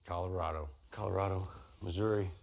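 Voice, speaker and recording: An actor playing a menacing soldier who interrogates captives in a cold, taunting manner, throwing their answers back at them. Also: male voice, low-pitched, fairly even intonation, a little fast, vocal fry, crowd noise.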